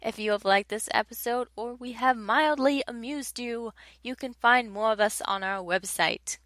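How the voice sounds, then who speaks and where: A woman's voice: a little high, moderate pace, fairly bright tone, no echo. A young woman hosting a casual podcast, chatty and laughing at her own flubbed lines. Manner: chatty, self-mocking about flubbed lines. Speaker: podcast host.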